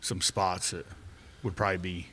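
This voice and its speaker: A man's voice: low voice, flat delivery, normal speed, fairly bright tone, slightly rough. A man hosting a podcast in an easygoing, plain-spoken way. He lays out the conditions and the plans for the night step by step, with loose fillers along the way. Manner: plain-spoken, laid-back, talks through conditions and plans. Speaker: podcast host. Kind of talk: casual chat.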